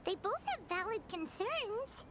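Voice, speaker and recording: Squeaky, excitable little fairy companion. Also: female voice, measured pace, background noise, heavily acted. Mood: eager. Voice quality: squeaky, childlike. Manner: refers to self in third person. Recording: loud orchestral music behind.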